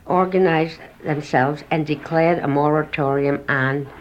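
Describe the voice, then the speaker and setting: A woman's voice: low-pitched, steady tone, measured pace, dull audio. An older woman explaining past events plainly in an oral history interview.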